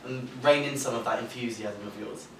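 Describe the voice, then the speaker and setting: A man acts a gruff boss in a stage play. He talks down curtly and scoldingly at a middling pitch. The sound is echoey and distant, with faint hiss. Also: a little fast, a bit bright, close to an everyday voice. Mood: stern. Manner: curt, scolding. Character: gruff, stern, condescending male boss.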